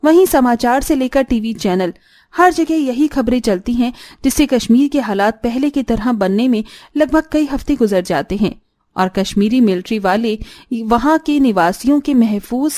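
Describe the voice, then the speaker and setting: Female, scripted dramatic narration, fairly high, mellow, very dry recording. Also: animated, normal speed, dry recording.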